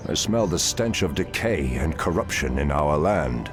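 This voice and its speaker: An actor playing an ancient night elf archdruid in a fantasy game, with grave, formal, commanding speech. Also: male voice, expressive, measured pace. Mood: stern, rallying. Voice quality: very deep, gravelly. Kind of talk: dramatic fantasy game acting. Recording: orchestral game music behind voice. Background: loud music.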